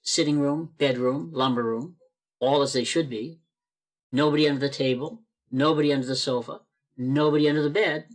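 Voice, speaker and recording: A man's voice: on the high side, steady tone, moderate pace. A man narrating a church radio play over a video call, reading expressively and building suspense.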